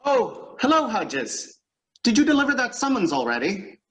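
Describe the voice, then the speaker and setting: A man's voice: extremely high pitch, huge pitch swings, normal speed, a bit bright, heavily acted, rough recording. A man playing a flustered, impatient boss in old-time radio comedy style, blustering and stammering. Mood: exasperated, blustery. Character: flustered, impatient boss with a dictation-taking secretary.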